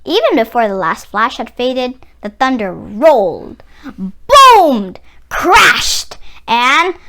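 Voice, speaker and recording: Animated, high-voiced woman reading a storybook. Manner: dramatic, drawn-out emphasis on sound words. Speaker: children's read-aloud narrator. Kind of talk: expressive storybook narration for children.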